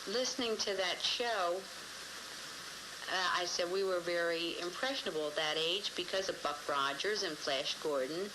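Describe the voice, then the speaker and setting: An American woman with a lowish voice talks casually, as in an interview. The old location recording has clear background noise. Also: normal speed. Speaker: radio listener recalling an event. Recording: noisy old location film sound.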